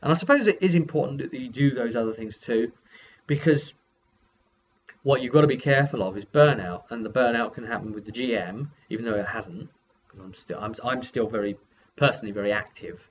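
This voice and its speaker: Man rambling haltingly on a dull recording. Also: mid-range voice. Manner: halting, self-correcting delivery. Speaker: game master and video host. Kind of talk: casual rambling session recap. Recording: dull lo-fi sound with faint noise.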